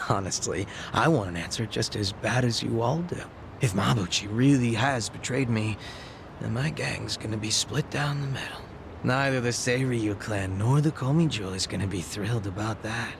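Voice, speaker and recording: An actor playing a sly, mocking gang boss who makes gleeful threats in a video game dub. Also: male voice, on the low side, moderate pace, creaky, background noise. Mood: mocking, giddy. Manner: casual profane taunting.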